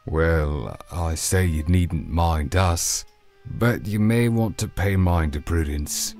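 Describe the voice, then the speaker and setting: A grave, reticent man as acted by a male voice delivers visual novel dialogue with reluctance. His voice is extremely low and full of gravel, and he takes it very slowly. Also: expressive, darkish tone, faint music behind, exaggerated voice. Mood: grave, reluctant.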